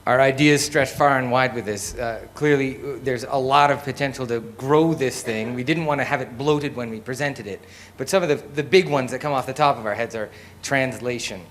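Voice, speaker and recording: Man leading a conversational live pitch. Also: normal speed, a bit bright. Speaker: lead presenter.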